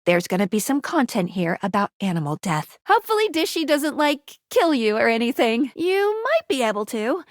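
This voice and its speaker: A woman's voice: a little high, very animated, moderate pace, few pauses, no echo, studio quality. A woman playing a perky, upbeat young female guide in a dating video game, with a playful, quirky and earnest manner. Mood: casual, candid. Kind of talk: video game character voice acting.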